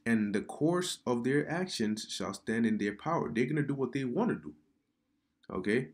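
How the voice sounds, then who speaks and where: A man giving casual religious commentary over news articles he reads aloud, halting and repeating words, often calling the listener "man.". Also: mid-range voice, animated. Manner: preachy, addresses viewer as man. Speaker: online commentator. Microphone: computer mic during screen recording.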